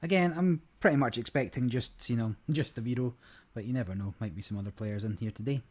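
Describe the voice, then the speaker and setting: A man hosting a gaming channel, talking through a card game in a relaxed, rambling way, his voice a little croaky. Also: mid-range voice, fast talker. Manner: relaxed, rambling, many fillers. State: slightly croaky voice. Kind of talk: casual gameplay commentary.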